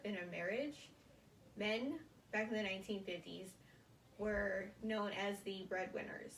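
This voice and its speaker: Student presenter: young American woman, halting short phrases, creaky voice, roomy sound with faint noise. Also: mid-range voice, far from the mic. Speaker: college student giving a speech. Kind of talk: prepared informative classroom speech.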